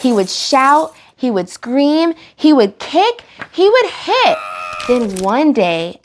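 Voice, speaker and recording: A woman reading a picture book aloud for children, with animated, dramatic delivery and drawn-out emphasis on key words. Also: mid-range voice, vocal fry. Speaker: children's storytime reader and host. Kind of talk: expressive picture-book read-aloud for kids.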